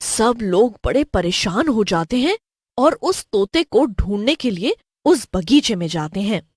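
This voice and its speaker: Female cartoon horror narrator: animated intonation, measured pace, scripted storytelling in a dry studio sound. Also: medium pitch, slightly rough, no echo.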